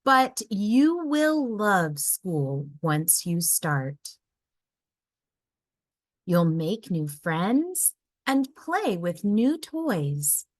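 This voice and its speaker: A woman voicing a loving mother raccoon in a storybook, gentle, soothing and reassuring. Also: medium pitch, dry recording, studio quality, full character voice. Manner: gentle, soothing, teasing tenderness.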